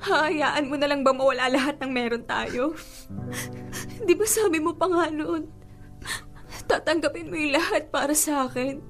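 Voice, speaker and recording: Woman acting high-voiced, defensive young Filipina girlfriend over music. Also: normal speed, pauses often, a bit bright. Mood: desperate, tearful. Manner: defensive, imploring. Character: evasive, pleading young girlfriend, guilty and desperate. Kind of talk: radio drama acting. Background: dramatic background music.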